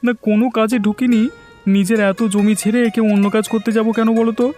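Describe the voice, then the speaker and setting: A man's voice: high voice, little pitch movement, fast, a little mellow, soft background music, natural voice. A man voicing an earnest, worried young village farmer in a cartoon. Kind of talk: cartoon voice acting.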